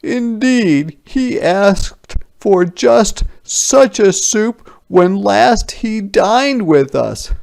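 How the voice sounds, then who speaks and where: A man voicing crafty, cajoling soldiers from a children's storybook, in a coaxing, emphatic, drawn-out way. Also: sits high, animated, vocal fry, darkish tone, voice pushed up, full character voice. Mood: wistful coaxing. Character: crafty, cajoling soldiers angling for soup ingredients.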